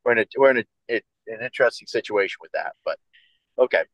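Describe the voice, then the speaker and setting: Halting low-voiced man on a video call. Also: a bit bright, dry recording, low-quality recording. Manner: halting, restarting sentences. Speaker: district representative asking questions.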